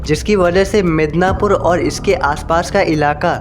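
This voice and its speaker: A man's voice: sits high, steady tone, a little slow, over music. A man narrating a scripted history explainer, addressing viewers directly in an explanatory way.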